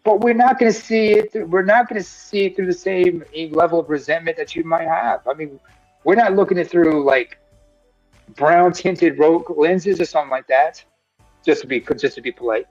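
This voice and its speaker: A man's voice: a little high, moderate pace, a little mellow, no room sound, faint music behind. Earnest man rambling with fillers, dull sound. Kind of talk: casual discussion.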